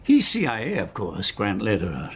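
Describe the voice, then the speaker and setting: An actor playing a shrewd intelligence officer in a radio drama, with a rough voice and a probing, sardonic, clipped manner. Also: male voice, lowish voice, huge pitch swings, moderate pace, exaggerated voice. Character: shrewd, sardonic male British intelligence officer, needling interrogator.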